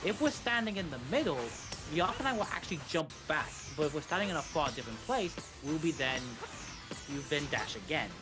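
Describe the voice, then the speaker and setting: A man's voice: a little high, sing-song, a little fast. A man giving live, explanatory gameplay commentary on a speedrun, often repeating and rephrasing as he goes. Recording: music behind the voice. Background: game music.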